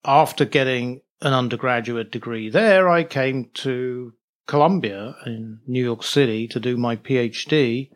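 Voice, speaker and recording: A middle-aged man with an English accent, answering casually as an interview guest, often halting to find his words. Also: medium pitch. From England.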